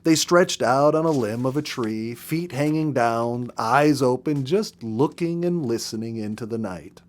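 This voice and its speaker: A man with a medium-pitched voice reads a book aloud in an audiobook style. He takes his time, shaping phrases dramatically and leaving pauses between them. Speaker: storyteller reading a book aloud. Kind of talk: expressive narrated audiobook reading.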